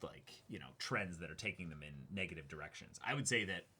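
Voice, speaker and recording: A young man co-hosting a show, chatting casually and reading aloud with halting, thoughtful phrasing. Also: deep voice, animated, pushed and bright, slightly rough. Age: young adult. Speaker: co-host of an unboxing show. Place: small indoor room.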